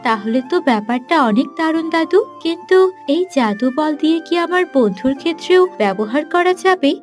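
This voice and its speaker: A sweet and determined young princess from a fairy-tale cartoon, played by a woman. The voice is high and full of eager curiosity, over faint background music. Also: lively intonation, moderate pace. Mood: sweet, curious.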